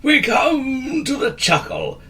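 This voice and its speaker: A man doing a wheezy, booming fat wizard. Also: huge pitch swings, slow pace, fairly bright tone, a little hiss or hum, full character voice. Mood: cheerful. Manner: exclaiming, bossy, cheerful. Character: large fat excitable male wizard.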